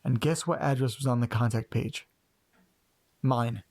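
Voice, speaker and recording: A creaky, medium-pitched male voice from an actor plays an anxious man who doubts himself. He speaks hesitantly and earnestly, as naturalistic dialogue in a horror audio drama about eerie mysteries. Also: unhurried, a little mellow. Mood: puzzled, intrigued.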